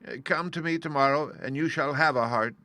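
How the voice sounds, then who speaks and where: Man voicing an apologetic old humbug wizard, storybook, confiding, bright tone, dry close sound. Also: on the high side, fairly quick, no room sound, pitched above the performer's own voice. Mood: confessing. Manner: gentle, confiding, a little sheepish.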